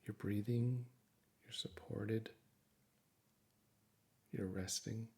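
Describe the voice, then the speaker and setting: Guy doing guided meditation, lowish voice w lots of vocal fry, gentle and reassuring. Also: fairly even intonation, drawn-out words.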